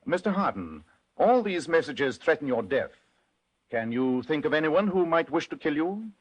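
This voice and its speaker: A composed, brisk gentleman detective from old-time radio. His pitch swings widely as he reasons aloud in precise, theatrical phrases. The voice is a little rough-edged, with formal courtesy throughout. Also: sing-song. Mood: analytical. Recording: vintage radio drama recording.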